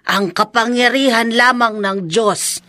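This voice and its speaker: Stern old lady psychic for radio horror, talks real slow, forceful and preachy. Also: on the high side, no echo. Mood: stern, solemn. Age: older. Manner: deliberate, admonishing. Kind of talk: Filipino radio horror drama acting.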